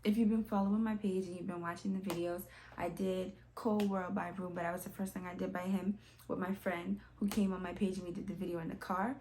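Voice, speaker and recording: A woman's voice: fairly low pitch, level tone, fairly quick, dark tone, a little hiss or hum, rough recording. A woman hosting a reaction video, talking casually and informally to her viewers, often addressing them as y'all. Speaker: host of music reaction videos. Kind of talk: casual chatty commentary.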